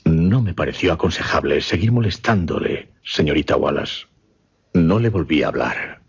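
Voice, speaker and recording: An actor playing a grave mesmerist who recounts a horrifying case in a husky, breathy voice, halting and suspenseful, in radio drama style. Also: male voice, rumbling low, animated, full character voice. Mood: grave, measured. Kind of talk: dramatic radio horror storytelling. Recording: dull radio drama recording.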